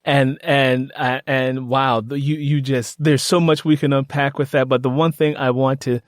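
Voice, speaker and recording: A man hosting a podcast interview, speaking conversationally with halting, repetitive phrasing. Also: mid-range voice, level tone, no room sound, studio quality. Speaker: podcast host.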